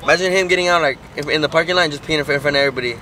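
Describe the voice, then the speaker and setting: A man's voice: a little high, rapid-fire. A young man vlogging casually on a trip with friends, loose and slangy, switching between English and Spanish. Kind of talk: casual vlog chat. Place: outdoors at a beach town with friends. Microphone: handheld camera. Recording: loud music and crowd noise. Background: loud music and crowd.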